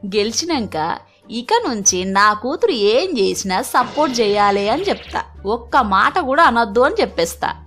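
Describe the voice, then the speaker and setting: A woman's voice: on the high side, lively intonation, normal speed, a bit bright, soft background music. A woman voicing a bossy, scheming older aunt in a Telugu cartoon story, boastful and colloquial, with a Telangana accent.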